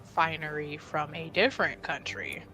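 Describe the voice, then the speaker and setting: A woman's voice: deep voice, normal speed, bright, forceful tone, low-quality recording. A woman speaking casually as a player in a tabletop roleplaying game.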